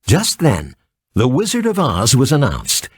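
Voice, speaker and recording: A man reading a scripted children's story as an expressive, theatrical narrator. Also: medium pitch, measured pace, breathy edge, no echo.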